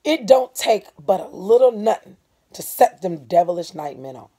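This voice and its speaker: A woman voicing a bitter, wary Southern man telling bad news in halting, folksy rural dialect, as in a storybook reading. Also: mid-range voice, big pitch swings, mellow, slightly rough.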